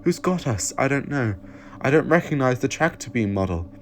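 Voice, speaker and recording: A man's voice: medium pitch, animated, moderate pace, slight background noise, pitched above the performer's own voice, natural voice. A man voicing an eccentric, kindly and distracted alien time traveller in an audio story. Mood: urgent.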